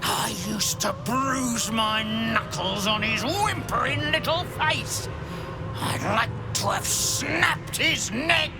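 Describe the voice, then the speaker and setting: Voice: mid-range voice, takes it slowly. An actor voicing a cruel, sardonic spirit who was once a prison keeper, in a video game cutscene, speaking in a mocking drawl. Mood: contemptuous. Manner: mocking, drawling, with sneering laughs. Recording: music underneath.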